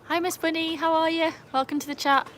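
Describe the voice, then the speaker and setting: A woman's voice: very high-pitched, steady tone, a little fast, a bit bright, slight background noise. A woman from England with an English accent, chatting casually through a livestream by her backyard pool and calling out to her dogs. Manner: chatty, laughs often, calls to her dogs. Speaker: livestream host.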